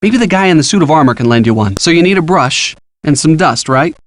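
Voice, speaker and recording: A man plays an eager young male sidekick from a detective video game. His voice sits fairly high, and he gives earnest, encouraging hints, clearly scripted, in a dry, close recording. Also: animated, fairly quick, no room sound, natural voice. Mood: helpful, coaching. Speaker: hint-giving game character.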